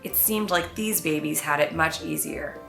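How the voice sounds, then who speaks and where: A woman, a children's author, reading and presenting her own storybook in an expressive read-aloud style. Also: sits low, normal speed, gravelly. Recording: roomy echo, faint music behind.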